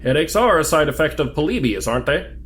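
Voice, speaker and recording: Male rookie agent played by a man, audio drama. Higher-set, very animated, questioning, flustered, conversational. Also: fast, faint music behind. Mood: probing. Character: talkative, curious, exasperated new male government agent.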